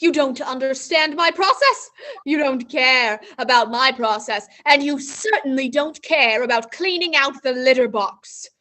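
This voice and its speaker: Girl acting as a snippy diva actress, high bright voice, stage play. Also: measured pace, a little hiss or hum. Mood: indignant. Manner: snippy, indignant. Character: temperamental actress wife, touchy about her process.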